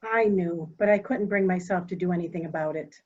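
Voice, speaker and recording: A woman's voice: fairly low pitch, a little fast, darkish tone, slightly rough, highs cut off. A woman playing a weary, remorseful member of a household in an amateur mystery play. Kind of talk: amateur murder mystery radio play acting.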